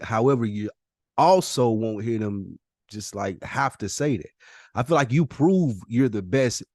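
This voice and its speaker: A man's voice: fairly low pitch, darkish tone, no echo, studio quality. A man hosting a podcast in a casual, easygoing, slangy conversational style. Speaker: podcast host.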